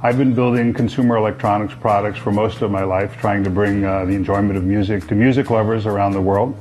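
Low-voiced older man pitching a product. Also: fairly even intonation, fairly quick, darkish tone, faint music behind. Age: 60s. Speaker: company founder presenting his product. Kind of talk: product pitch to camera, semi-scripted. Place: living room with sofas, indoors.

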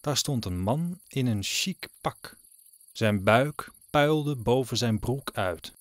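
A man narrating an audio drama as a scripted story, deliberately, with suspenseful pauses. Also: medium pitch, lively intonation, no room sound. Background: music.

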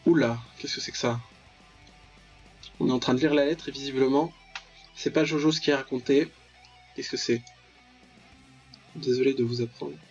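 A man's voice: mid-range voice, fairly even intonation, moderate pace, pauses often, muffled recording, faint music behind. A man giving casual, joking commentary on a visual novel, ironically paraphrasing and reacting aloud as he plays. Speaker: let's player, channel host.

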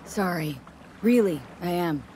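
A woman's voice: on the low side, animated, measured pace, warm tone, slight background noise. A woman playing a cool street mercenary in a video game, speaking in a dry, teasing way.

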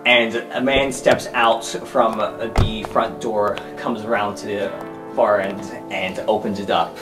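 A man's voice: lowish voice, steady tone, unhurried. A man narrating an improvised tabletop game as game master. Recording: echoey room, music underneath.

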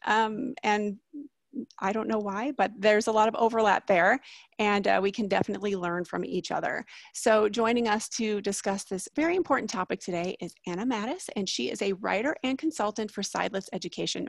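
A woman's voice: medium pitch, a little fast, creaky, dry recording. A woman hosting an online webinar, introducing a guest speaker over a video call. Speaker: webinar host. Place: indoor room on a video call.

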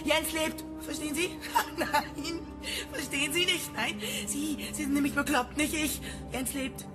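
High female voice, desperate unhinged wife, agitated, pleading, repetitive, radio drama, music behind. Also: fairly even intonation, a little slow. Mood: hysterical. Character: unhinged, desperate wife insisting her husband lives. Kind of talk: German radio play acting.

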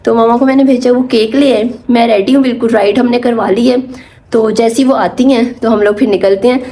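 A woman's voice: fairly high pitch, steady tone, a little fast, slight background noise. A young woman vlogging casually to her phone, chatting about her day and mixing in English words. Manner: hushed, half-whispered indoors. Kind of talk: casual vlog chat. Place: home and auto-rickshaw. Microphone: phone held at arm's length.

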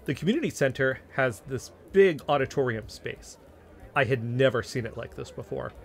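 An actor playing an earnest amateur investigator, narrating events in first person as scripted audio drama. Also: male voice, medium pitch, lively intonation, normal speed, creaky. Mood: earnest, intrigued.

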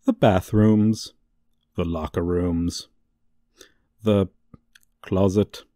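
A man playing a gloating, suave supervillain in a comedic ASMR roleplay, with a theatrical, teasing, drawn-out delivery. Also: low-pitched, fairly even intonation, mellow, no room sound, clean studio sound. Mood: mock-sweet, gloating. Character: gloating, suave, theatrical male supervillain toying with a young hero.

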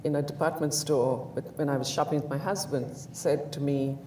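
A woman in her sixties with an Indian accent, telling lively anecdotes on a panel and acting out the lines of the people in them. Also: low-pitched, brisk. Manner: animated storyteller, acts out quoted lines, laughs. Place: bright large indoor room, seated in armchair. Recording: echoey room, distant mic, faint hiss.

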